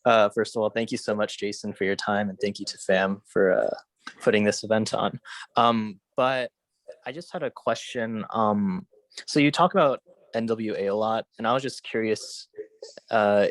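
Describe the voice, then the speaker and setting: A man's voice: fairly low pitch, dry recording. A young man in the audience politely asking a question, with frequent hesitations. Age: young adult. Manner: polite, hesitant, many ums.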